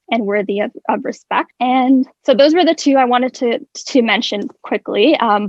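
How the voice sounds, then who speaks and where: A woman's voice: on the high side, no echo. Earnest young woman. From Ireland. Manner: earnest, thoughtful, with frequent "like" and restarts. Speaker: podcast guest. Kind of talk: prepared personal talk. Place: podcast recording space. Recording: dry, dull lo-fi podcast audio.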